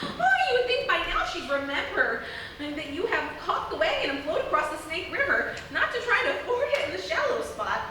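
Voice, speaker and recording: A woman's voice: sits high, very animated, fairly quick, pushed and bright, full character voice. A woman playing a fretful, scatterbrained mother in live comedic radio theatre, exclaiming melodramatically. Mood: flustered. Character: scatterbrained suburban mother, fretful yet absurdly reassured. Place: theatre stage in front of audience. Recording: echoey live theatre, distant, noisy.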